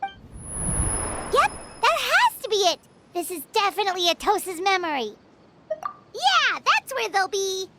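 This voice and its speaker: Game sidekick: excitable little fairy, extremely high squeaky pitch, very animated, noisy backdrop. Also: female voice, unhurried, pauses often, exaggerated voice. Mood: excited, certain. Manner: squeaky, exclamatory. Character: tiny, excitable, childlike fairy companion. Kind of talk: video game voice acting.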